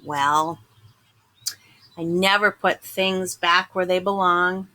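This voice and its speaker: Lady reading as a glum little storybook seed owning up to being bad, slow n dramatic, bright voice. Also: fairly low pitch, steady tone. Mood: confessing, defiant. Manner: dramatic, confiding, drawn-out emphasis. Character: small self-confessed bad sunflower seed, glum yet earnest. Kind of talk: picture book narration in first person.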